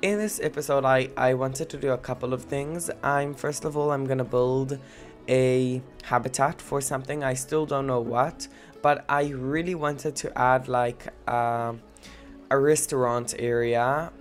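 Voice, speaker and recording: Giggly stuffy-nosed young man, slow. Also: medium pitch, steady tone, faint music behind. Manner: rambling, giggly, many fillers. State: stuffy blocked nose from a cold. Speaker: let's-play gamer. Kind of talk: casual gameplay commentary.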